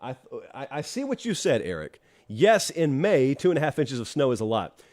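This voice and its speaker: A man's voice: mid-range voice, very animated, normal speed, a little mellow. A middle-aged man hosting a live college football talk show, congested from allergies, chatting with wry asides to viewers in the live chat. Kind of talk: live-streamed solo talk show with ad read.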